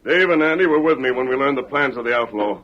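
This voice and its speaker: A man's voice: mid-range voice, lively intonation, fast talker, a little hiss or hum, lightly acted. A man playing a firm, righteous masked western hero in a radio drama, with formal, commanding diction. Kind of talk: 1940s radio western acting. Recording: muffled old radio recording.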